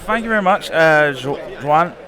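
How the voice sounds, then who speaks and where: Man, event host, casual post-match chat, slightly high voice, crowd noise behind. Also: expressive, normal speed. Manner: chatty, thankful, restarts sentences. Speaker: event host interviewing players. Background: crowd chatter.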